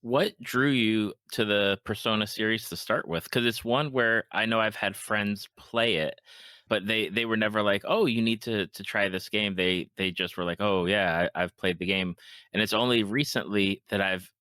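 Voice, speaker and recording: Deep voiced podcast host guy, kinda bright tone, dry sound, agreeable casual interviewer. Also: steady tone, normal speed, clear, resonant tone, dry recording.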